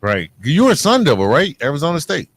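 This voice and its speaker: A man's voice: on the high side, huge pitch swings, quick pace, no echo. An American man hosting a podcast, interviewing in a casual, colloquial way. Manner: informal, colloquial. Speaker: podcast host.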